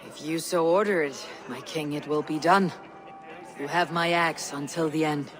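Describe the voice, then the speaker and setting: A woman's voice: sits low, steady tone, normal speed, music in the background, lightly acted. An actress playing a loyal, steadfast Viking warrior woman, direct and wry, in video game dialogue. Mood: firm, dutiful. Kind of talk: dramatic game cutscene dialogue.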